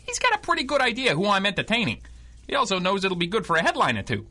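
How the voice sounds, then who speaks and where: An actor playing a middle-aged, wisecracking 1930s crime reporter with a slangy, wry delivery in a radio drama. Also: male voice, high-pitched, wildly swooping pitch, fast, sharp tone, full character voice. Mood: wry, animated.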